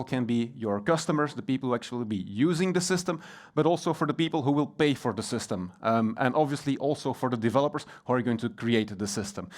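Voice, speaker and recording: A middle-aged Belgian man with a Belgian accent giving a technical conference talk, relaxed and plain, with frequent ums and uhs. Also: medium pitch. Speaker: conference speaker. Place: conference hall.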